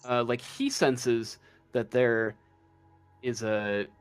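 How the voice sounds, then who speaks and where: A man improvising narration as game master of a tabletop roleplaying game, often pausing mid-sentence as he goes. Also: mid-range voice, steady tone, clear, resonant tone, no room sound, soft background music.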